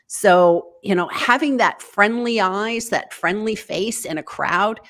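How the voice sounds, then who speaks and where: A woman guest on a live video interview, giving encouraging tips in an animated way and leaping up in pitch for quoted thoughts. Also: slow pace. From United States. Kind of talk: online interview. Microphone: video call.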